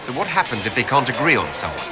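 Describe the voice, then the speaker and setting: An actor playing a calm, courteous male adventurer in a fantasy video game, measured and politely inquiring. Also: medium pitch. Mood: politely curious. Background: loud game music.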